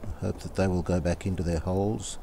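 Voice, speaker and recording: A man from Australia narrating hands-on repair work casually, unhurried and halting as he thinks aloud. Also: deep voice, fairly even intonation, vocal fry, noisy background. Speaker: radio restorer presenting his own repair videos.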